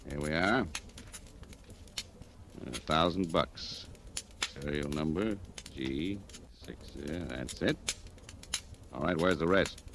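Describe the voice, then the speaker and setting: A tough police detective from a 1940s radio thriller. His voice is extremely deep, and he talks in curt, sardonic, scornful jabs. The old recording is clearly noisy. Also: slow, long pauses, heavily acted. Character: hard-boiled, scornful male police detective. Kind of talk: 1940s radio drama acting.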